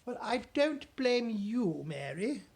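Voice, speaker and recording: A woman putting on a plummy, high queen. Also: very animated, unhurried, breathy edge, full character voice. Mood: dismayed. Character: refined elderly queen, gracious but easily shaken.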